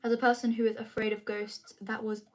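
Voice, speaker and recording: A woman's voice: fairly high pitch, level tone, brisk, a little mellow. A young woman chatting casually with her viewers on a live stream, rambling with lots of fillers. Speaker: vlogger answering chat.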